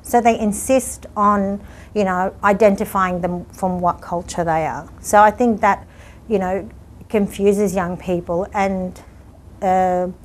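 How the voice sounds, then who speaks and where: A woman's voice: mid-range voice, slight background noise. A woman in her forties from Lebanon, a community worker explaining earnestly in an indoor interview. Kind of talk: reflective sit-down interview. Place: indoor room with curtains.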